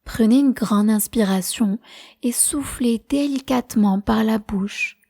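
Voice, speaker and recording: A woman leading a guided prenatal meditation as a teacher, speaking in a calm, measured, soothing way. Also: fairly high pitch.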